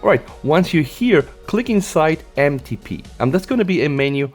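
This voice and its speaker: A man's voice: a little high, very animated, normal speed. A man narrating a step-by-step tech tutorial in a casual, instructional way, stressing the key points. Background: background music.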